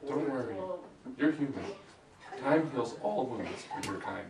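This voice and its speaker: A man's voice: mid-range voice, lively intonation, unhurried, crowd noise. A man playing a brooding, cold young vampire in a comedic live reading of a romance script. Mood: solemn, restrained. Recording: distant mic in echoey room, audience noise.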